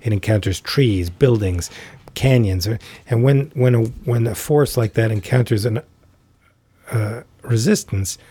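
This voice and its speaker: Mellow, husky-voiced man telling a story. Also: mid-range voice, no room sound. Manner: unhurried, thoughtful, many fillers and restarts. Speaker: podcast guest, tai chi teacher. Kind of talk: reflective storytelling in conversation.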